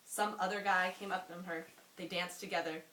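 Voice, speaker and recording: A young woman reading a story aloud to a friend in an amused, expressive way, often breaking off for asides. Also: lowish voice, moderate pace. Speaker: reader. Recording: roomy, distant mic with faint noise.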